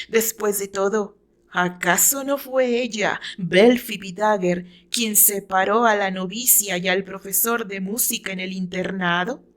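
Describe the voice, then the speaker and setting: A woman with a Mexican accent reading her own novel as a dramatic, deliberate, theatrical story narration. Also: mid-range voice.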